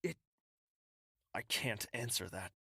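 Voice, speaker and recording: A man's voice: fairly low pitch, lively intonation, takes it slowly, gravelly, bright, forceful tone, slightly rough, no echo, studio quality, heavily acted. A man playing a troubled, self-doubting young royal in an audio drama, with an earnest, hesitant, introspective manner. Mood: defensive, confused.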